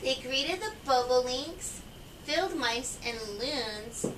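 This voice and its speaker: Woman, slow-paced read-aloud storyteller for kids, asks the listeners questions; recorded far off in an echoey room. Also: high-pitched, lively intonation, a bit bright, slight background noise. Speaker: reader of a children's picture book.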